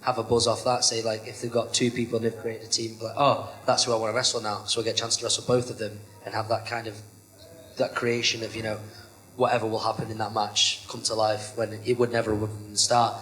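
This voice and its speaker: A man's voice: fairly low pitch, quick pace, roomy sound, rough recording. A young man, a pro wrestler, chatting casually in an interview, rambling with lots of fillers. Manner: rambling, many "you know" fillers, self-described monotone. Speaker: professional wrestler, interview guest.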